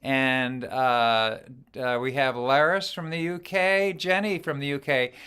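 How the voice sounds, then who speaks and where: A man's voice: medium pitch, steady tone, slow, sharp tone, clear, resonant tone. A man hosting an online sing-along over a video call, chatty and cheerful, greeting viewers by name. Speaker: host.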